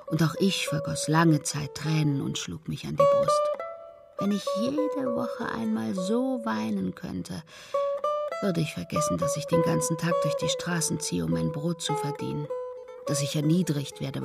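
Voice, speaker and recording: A woman's voice: sits low, vocal fry, full character voice. An actress playing a fat, talkative, greedy peddler woman in a theatrical German radio play, sometimes dropping to a whisper. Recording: radio play with loud plucked-string music behind.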